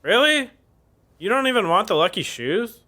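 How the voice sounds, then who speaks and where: A man's voice: high voice, very animated, moderate pace, noisy background. A man acting a hapless, earnest, quirky groom in a podcast sitcom. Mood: hopeful, unsure.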